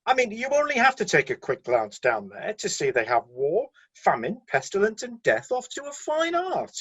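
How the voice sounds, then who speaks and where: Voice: mid-range voice, huge pitch swings, normal speed, fairly bright tone, husky, exaggerated voice. An actor playing a loyal, defensive celestial middle manager in a satirical radio play, breezily making corporate excuses and sounding mildly flustered.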